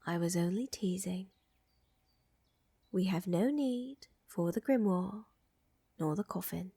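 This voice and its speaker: A woman playing a flirtatious, gently dominant vampire countess in an intimate ASMR roleplay, breathy and often whispering, with teasing, coaxing, formal wording. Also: on the low side, slow pace, dry recording, heavily acted. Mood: teasing, tender.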